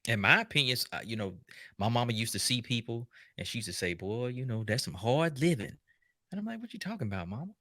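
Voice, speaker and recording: A man hosting a show, giving casual, unscripted commentary in an animated, joking, colloquial way. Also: medium pitch, fast, no room sound, studio quality. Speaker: host reacting to a documentary.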